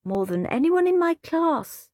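A woman's voice: very high voice, big pitch swings, unhurried, darkish tone, no room sound, clean studio sound, voice pushed up, full character voice. A woman voicing a whiny, smug, goody-goody little boy in a storybook reading. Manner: prissy and self-satisfied.

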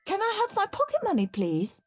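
A woman's voice: very high voice, wildly swooping pitch, fairly quick, faint music behind, pitched above the performer's own voice, exaggerated voice. A woman voicing a prim, goody-goody little boy who is eager to please, in a children's audiobook. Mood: smug, sing-song. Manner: sing-song, prim.

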